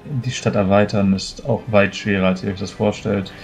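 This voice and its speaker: A man's voice: on the low side, fairly even intonation, moderate pace, natural voice. A man playing an earnest, experienced noble adventurer in a tabletop roleplay, speaking formally and with measure. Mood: earnest, measured. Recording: music behind.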